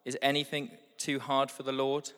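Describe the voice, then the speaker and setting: A man reading a scripture passage aloud at a steady, measured pace. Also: medium pitch, clear, resonant tone. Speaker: scripture reader. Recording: hall with long reverb.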